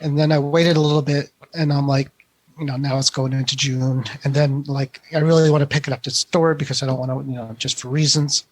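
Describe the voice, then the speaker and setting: A man's voice: mid-range voice, little pitch movement, fairly quick, dry recording. A man co-hosting a tech podcast, chatting casually and hesitantly as he thinks aloud. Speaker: podcast co-host.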